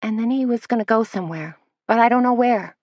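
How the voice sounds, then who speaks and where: Flustered young gal babbling fast and high, woman reading her, dry recording. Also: a little mellow, dry recording, close to an everyday voice. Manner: breathless, words tumbling out, higher pitched. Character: flustered young woman, law office assistant.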